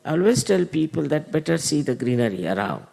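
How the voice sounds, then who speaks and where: A middle-aged man gives an unhurried spiritual talk to followers, explaining with many "uh" pauses. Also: fairly high pitch, big pitch swings, creaky, dark tone. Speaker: spiritual teacher.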